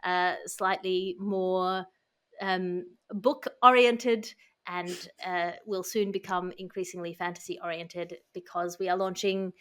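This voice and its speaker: A woman's voice: fairly low pitch, steady tone, slow, fairly bright tone, clean tone. A woman hosting a satirical podcast, reading news and mock ads in a dry, declamatory, tongue-in-cheek way. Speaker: podcast host.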